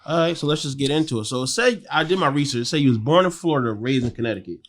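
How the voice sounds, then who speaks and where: Male interview host, quick and blunt, mid-range voice, jokey casual chat. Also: mid-range voice, animated. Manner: blunt, joking.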